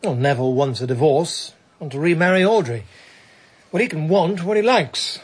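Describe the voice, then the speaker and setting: A man's voice: a little high, expressive, moderate pace, slightly rough. An actor playing a dry, disapproving man who reports family quarrels in a radio drama.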